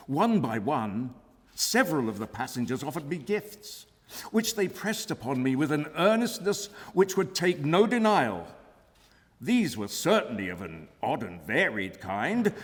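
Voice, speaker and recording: A man reading a gothic novel aloud as dramatic literary narration. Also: a little high. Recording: reverberant hall at a live reading.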